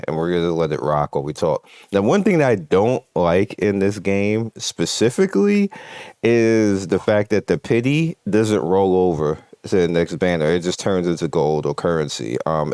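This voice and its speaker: A man's voice: low voice, darkish tone, no echo, studio quality. A man hosting a gaming channel, giving casual, off-the-cuff commentary in a blunt, opinionated way over gameplay. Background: game music.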